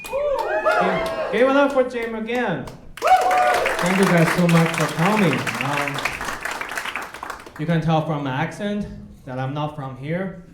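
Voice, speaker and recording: A young man from China with a Chinese accent doing a self-mocking stand-up set on a comedy club stage. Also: sits high. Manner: deadpan, self-mocking, with pauses for laughs. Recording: echoey club with loud crowd noise. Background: audience laughter.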